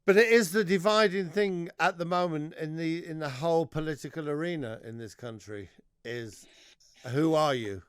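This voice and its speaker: A man from England hosting a radio interview and telling stories in a lively way. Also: a little high, normal speed, smooth, dry recording, studio quality. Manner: chatty storyteller, animated. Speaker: radio host.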